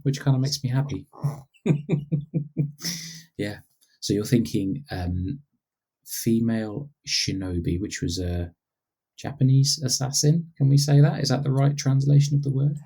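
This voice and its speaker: A man from England co-hosting a gaming podcast, friendly and quick to laugh, often asking his partner questions. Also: medium pitch, gravelly, warm tone, husky, poor audio. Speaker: podcast co-host. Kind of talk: casual podcast chat. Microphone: lower-quality microphone.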